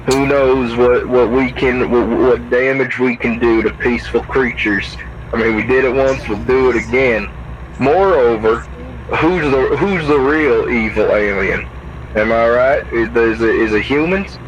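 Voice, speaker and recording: A man's voice: mid-range voice, a little slow. A man chatting casually over a game's CB radio, rambling and repeating words for emphasis. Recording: dull radio sound, loud vehicle noise. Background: truck and road sounds.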